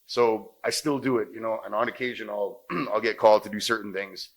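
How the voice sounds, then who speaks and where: A Jamaican man speaks with a low, smooth voice of the kind made for radio. He talks in a relaxed, casual way, filling pauses with "you know" as he answers interview questions. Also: low-quality recording. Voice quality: deep smooth voice. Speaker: actor and radio host guest.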